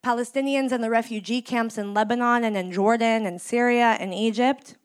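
A woman in her thirties from the Bay Area, a community organizer in mourning, giving an impassioned speech in a measured, emphatic way with deliberate pauses. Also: on the high side, fairly even intonation, clear, resonant tone. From San Francisco Bay Area, United States. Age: 30s.

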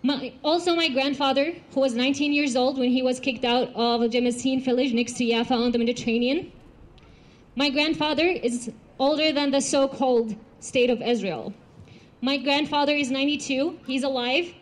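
A woman's voice: high-pitched, steady tone, normal speed. A young woman from Gaza speaking at an outdoor rally, telling personal stories earnestly with fillers and restarts. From Gaza, Palestine. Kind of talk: outdoor protest speech.

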